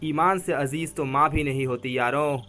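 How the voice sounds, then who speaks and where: A woman's voice: low voice, moderate pace, a bit bright, soft background music. A woman playing a fierce, zealous village thug in an audio play, goading and impassioned as a gang leader. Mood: fiery.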